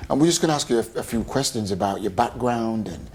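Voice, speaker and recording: A man hosting a local television interview, asking questions and giving quick encouraging affirmations. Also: lowish voice, expressive, a little mellow, a little hiss or hum.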